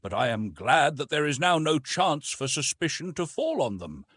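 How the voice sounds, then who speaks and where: A man's voice: on the high side, big pitch swings, moderate pace, fairly bright tone, husky, no room sound, studio quality, voice pushed up, exaggerated voice. A man voicing an earnest, haunted French naval officer in an audiobook, speaking in an intense, formal way. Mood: formal, reassuring. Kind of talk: dramatised audiobook reading.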